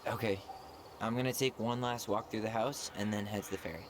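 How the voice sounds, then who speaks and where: Guy voicing chill young american dude in a video game, lowish voice, casual n understated, noisy ambience behind. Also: fairly even intonation, fairly quick, natural voice. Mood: joking, warm. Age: young adult. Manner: casual, understated, gently joking. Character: easygoing young man, twin brother leaving his childhood home. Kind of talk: naturalistic video game dialogue.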